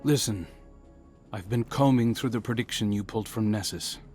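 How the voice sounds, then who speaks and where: Dude voicing a dry, easygoing young scout type, lowish voice, bit of music in the back. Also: male voice, moderate pace, lightly acted. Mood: wry. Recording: game dialogue over soft music.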